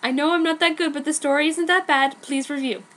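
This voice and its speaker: A woman voicing an excitable, defensive teenage fan fiction author who blurts out emphatic asides. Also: sits high, brisk, pitched above the performer's own voice. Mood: gushing, defensive. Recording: noticeable background noise, amateur recording.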